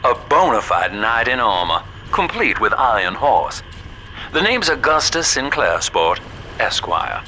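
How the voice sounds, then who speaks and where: An actor playing a smooth-talking, roguish businessman with a Southern US accent, folksy and wry, over a radio in a video game. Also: mid-range voice, big pitch swings, unhurried, bright, forceful tone, slight background noise. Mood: sly, genial.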